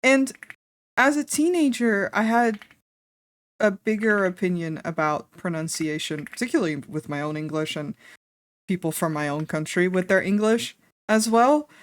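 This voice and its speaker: A woman's voice: mid-range voice, moderate pace. A young woman from the Netherlands with a Dutch accent, chatting casually and candidly on a stream, laughing often and swearing freely. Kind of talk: casual stream chat while drawing.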